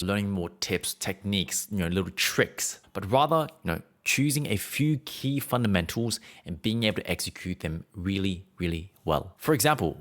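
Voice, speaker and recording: A man's voice: deep voice, a bit bright. A young man teaching singing to camera, explaining in an earnest way with frequent fillers.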